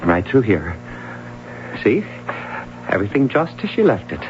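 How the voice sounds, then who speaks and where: Anxious defensive father, stammering, lively pitch, old radio drama, dull lo-fi sound. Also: a little slow, over music. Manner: hesitant, stammering. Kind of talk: 1970s radio drama acting.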